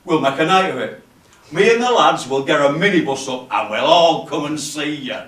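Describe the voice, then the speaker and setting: A man's voice: fairly high pitch, expressive, unhurried, fairly bright tone. A man voicing a brash, swaggering male workmate full of tea-room banter in a comic stage monologue. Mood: curious, hearty. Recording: echoey room, distant camera microphone.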